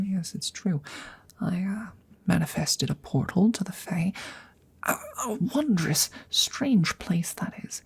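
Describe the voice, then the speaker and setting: A woman voicing a courteous, eccentric female dragon in an ASMR fantasy roleplay, speaking in a soft breathy whisper with halting, formal, coaxing phrasing. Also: lowish voice, heavily acted.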